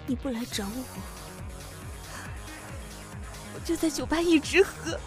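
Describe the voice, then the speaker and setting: A woman playing a clingy, drunken, scornful young jilted lover in a modern Chinese audio drama, with a dramatic, drawn-out delivery. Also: sits high, over music, close to an everyday voice. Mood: reckless threatening.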